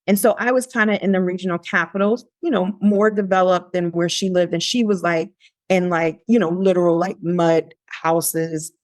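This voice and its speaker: A woman guest on a podcast, telling a personal story in a lively, conversational way, often pausing on "like". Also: lowish voice, no room sound, clean studio sound. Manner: animated, lively quoting of her younger self; frequent "like" fillers and restarts.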